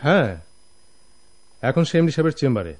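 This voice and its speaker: Excitable office busybody, Bangladeshi, highish lively voice, radio play. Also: male voice, brisk. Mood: inquisitive. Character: excitable, inquisitive male commercial officer. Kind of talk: Bengali radio drama acting.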